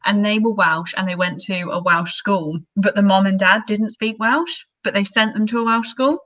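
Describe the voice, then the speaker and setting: A woman chatting on a video call, telling a relaxed story and laughing easily. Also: mid-range voice, normal speed. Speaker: co-host. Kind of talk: casual chat on a live stream.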